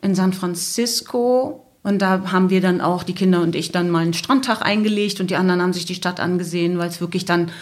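A woman's voice: fairly low pitch, fast talker, hardly any pauses. A middle-aged woman tells a casual, detailed travel story, wandering and correcting herself as she goes. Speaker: podcast guest, travelling mother.

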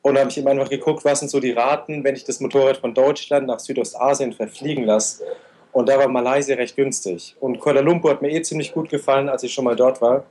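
A man in his twenties, an interview guest recounting his travels in a steady, detailed way. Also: mid-range voice, fast, a little hiss or hum. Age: 20s. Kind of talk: conversational interview answers.

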